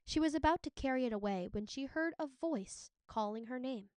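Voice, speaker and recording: A woman narrating a storybook as a teacher would, in an expressive read-aloud style. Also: high-pitched, a little fast, clear, resonant tone, no room sound, clean studio sound.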